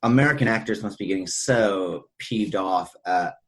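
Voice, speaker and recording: A man's voice: deep voice, moderate pace, a bit bright, rough recording. A man hosting a podcast in a casual, chatty style. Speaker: podcast host. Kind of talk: casual chat.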